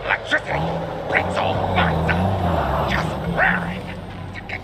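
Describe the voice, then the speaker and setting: A male actor playing a savage, taunting raider who jeers and yells in a video game. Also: low voice, unhurried. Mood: jeering. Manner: yelling, jeering. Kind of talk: video game cutscene dialogue. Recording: clear background vehicle noise.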